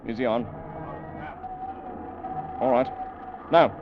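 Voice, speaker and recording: An actor playing a haunted, stubborn, grieving sea captain in a 1940s radio drama, halting and brooding yet commanding. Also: male voice, mid-range voice, lightly acted. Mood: stern command. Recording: muffled, noisy old-time radio recording.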